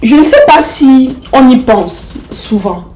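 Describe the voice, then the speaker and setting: A woman's voice: sits high, sing-song, slow, warm tone. Roomy-sounding woman with a stuffy flu voice. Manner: affectionate, coaxing, repeats herself for emphasis. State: has the flu, stuffy nose. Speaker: motivational vlogger. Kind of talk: casual motivational talk to camera. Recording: roomy echo.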